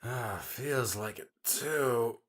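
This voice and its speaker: A man's voice: medium pitch, expressive, very slow, fairly bright tone, no echo, studio quality, voice pushed up, heavily acted. A man voicing a weary, affectionate adventurer with a subdued, sighing manner in an audiobook. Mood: dry, tired. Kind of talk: audiobook character dialogue. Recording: music under the voice.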